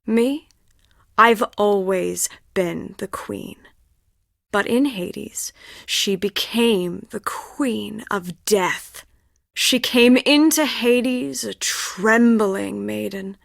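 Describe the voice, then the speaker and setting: A scheming game villainess, cold and smug. She speaks slowly and deliberately in a medium-pitched, close, dry voice full of menace. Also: no room sound. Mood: menacing, storytelling. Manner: slow, deliberate, smug, breathy hushes. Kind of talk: dramatic video game voice acting.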